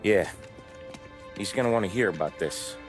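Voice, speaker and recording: An actor with an American accent playing a tough, protective gangster in a crime game, over music. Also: male voice, on the low side, lively intonation, moderate pace, lightly acted. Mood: matter-of-fact. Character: tough, protective male mob henchman. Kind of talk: cinematic crime game acting.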